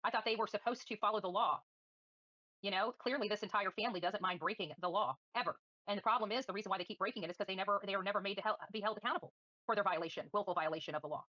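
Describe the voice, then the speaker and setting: A woman's voice: mid-range voice, very fast, bright, forceful tone, no room sound, lo-fi sound. A woman livestreaming commentary and answering viewers in a combative, defiant, scornful manner. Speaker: livestream host.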